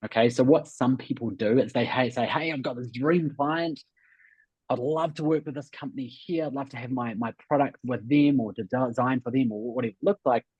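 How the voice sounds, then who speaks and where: A man's voice: medium pitch, brisk, darkish tone, slightly rough. A man with a New Zealand accent hosting a coaching webinar, explaining emphatically and repeating key points with frequent check-ins. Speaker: host and business coach. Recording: muffled, roomy webinar audio.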